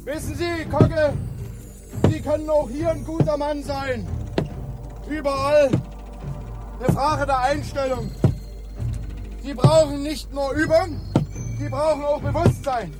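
Grumbling, pedantic blind helmsman, extremely high voice, radio play over loud music. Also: fairly even intonation, takes it slowly, pauses often. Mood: lecturing. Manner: didactic, pedantic. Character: opinionated blind helmsman lecturing his assistant, grumbling.

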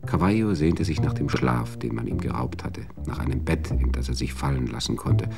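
A man narrating a radio drama in a measured, literary way. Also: rumbling low, creaky, over music.

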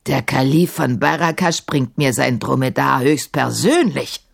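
A woman's voice: extremely low pitch, expressive, unhurried, vocal fry, breathy edge, pitched below the performer's own voice, heavily acted. Woman voicing a bellowing, mocking giant male djinn. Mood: menacing, mocking.

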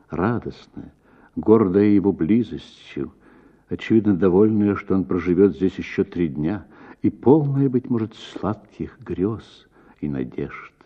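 Deep, very expressive male story narrator. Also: phone-quality sound. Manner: expressive, measured, with deliberate pauses. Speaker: actor reading a short story. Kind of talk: dramatic literary narration.

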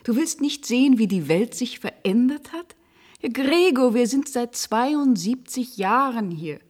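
A woman's voice: fairly high pitch, expressive, unhurried, darkish tone. An actress playing a frank old woman who questions and reassures, in a radio play. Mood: reproachful, coaxing. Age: older. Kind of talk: German radio play acting.

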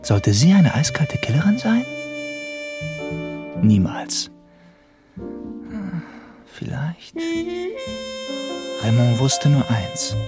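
A man's voice: mid-range voice, big pitch swings, very slow, pauses often, over music. A man playing a detective and dreamy pulp crime writer in a theatrical, brooding manner in a German radio drama. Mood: melodramatic, brooding.

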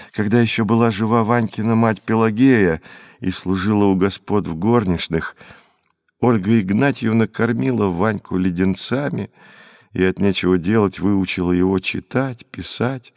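A man reading a literary story aloud as an expressive audiobook narrator. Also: low voice, in a large hall.

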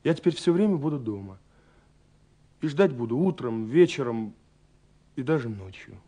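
A man's voice: medium pitch, lively intonation, moderate pace, warm tone, close to an everyday voice. An actor playing a dreamy, romantic young man in a radio play, musing tenderly over his love letters in a voice that often sinks toward a whisper. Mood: hopeful, pleading. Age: young adult. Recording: 1970s radio recording with music behind. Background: music.

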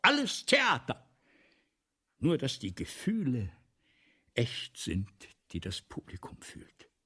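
A man acting in a German radio play as a grandiose, excitable playwright, pompous and theatrical, moving between whispers and outbursts. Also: medium pitch, slow, breathy edge, heavily acted. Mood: exalted, theatrical. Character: grandiose, excitable male playwright scheming a lurid melodrama.

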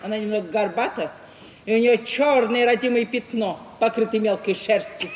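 Actress as a high-pitched, sly society gossip. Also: female voice, normal speed, darkish tone, highs cut off, natural voice. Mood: mock-confiding. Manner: arch, theatrical, knowingly ironic. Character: sly, teasing provincial society woman with mock-innocent gossip. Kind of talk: 1950s Russian radio stage-comedy acting. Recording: echoey hall, 1950s radio recording.

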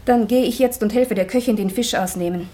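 An actress playing a loyal, sharp-tongued maidservant in a German radio play, speaking precisely and dryly, respectful yet pert. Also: female voice, on the high side, fairly quick, natural voice. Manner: dry, respectful but pert, precise stage diction.

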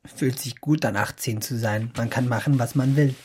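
Radio drama son acted by a man: eighteen, dutiful yet short-fused, terse, husky youthful voice. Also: medium pitch, moderate pace, darkish tone, close to an everyday voice. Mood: subdued. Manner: terse, defensive. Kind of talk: German radio drama acting.

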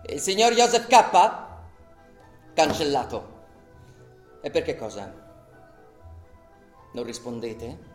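An actor playing an indignant accused man in a radio drama, declaiming rhetorically and sarcastically to a tribunal. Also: a little high. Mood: accusing, agitated. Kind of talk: theatrical radio drama monologue. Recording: roomy echo, music behind.

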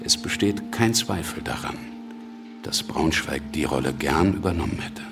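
An actor playing a domineering, scheming revolutionary politician in a radio drama, blunt and commanding. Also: male voice, rumbling low, moderate pace, music in the background. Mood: forceful, persuasive. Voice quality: gravelly.

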